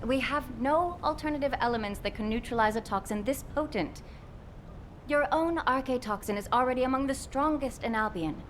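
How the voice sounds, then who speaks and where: A woman's voice: sits high, moderate pace, slight background noise, close to an everyday voice. A woman acting a concerned, protective technical expert in a video game, speaking with urgent, precise earnestness. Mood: grave, insistent.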